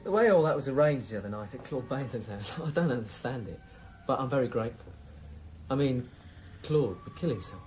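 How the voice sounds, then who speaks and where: An actor playing a chummy drug dealer in a radio drama, falsely polite, mock-formal and insinuating. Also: male voice, mid-range voice, animated, a little fast, darkish tone, slight background noise, close to an everyday voice. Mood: deferential. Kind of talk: 1990s radio drama acting.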